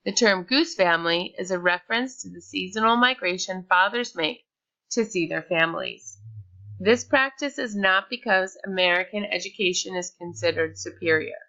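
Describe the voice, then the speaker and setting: A woman's voice: on the low side, moderate pace, pushed and bright, dull audio. A woman reading a scripted slide presentation aloud, with small slips and restarts.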